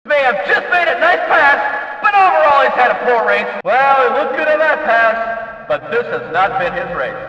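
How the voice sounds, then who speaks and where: Hyped up folksy race announcer for a video game, super bright forceful voice, big echoey distant sound. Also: male voice, extremely high pitch, big pitch swings, moderate pace, exaggerated voice. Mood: measured, critical. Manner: folksy, emphatic, dramatic. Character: excitable male race track announcer in a booth. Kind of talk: excited motorsport race commentary. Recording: echoey track PA, slightly dull, distant.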